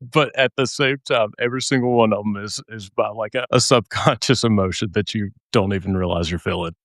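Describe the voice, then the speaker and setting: A man hosting a podcast interview, animated and quick to react. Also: lowish voice, no echo. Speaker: podcast host.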